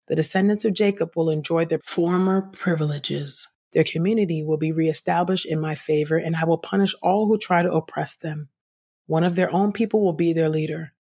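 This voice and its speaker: A woman reading scripture aloud as a podcast host, in a measured, declarative way. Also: sits low, mellow, slightly rough.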